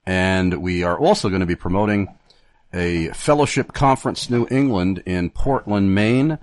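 Guy hosting a radio talk show, formal, stumbles with uhs, lowish pitch, dead dry sound. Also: no room sound. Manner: formal, halting with many fillers. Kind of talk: radio interview.

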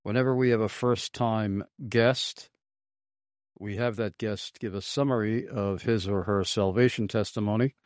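Low-voiced measured radio host. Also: male voice, steady tone, darkish tone, dry recording, clean studio sound. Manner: measured, slow, with fillers.

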